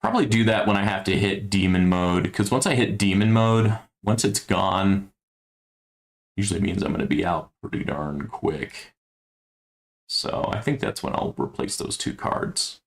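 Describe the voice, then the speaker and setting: Gravelly low-voiced man streaming a game. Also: moderate pace. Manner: thinking aloud, low-key and musing. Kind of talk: casual gameplay commentary.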